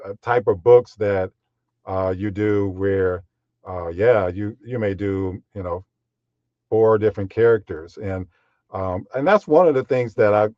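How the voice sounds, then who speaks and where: A deep-voiced man sits for a podcast interview about his voiceover work. He talks in a measured, unhurried way, with plenty of fillers. His low voice comes through slightly muffled and very dry. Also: no echo. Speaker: guest voiceover artist.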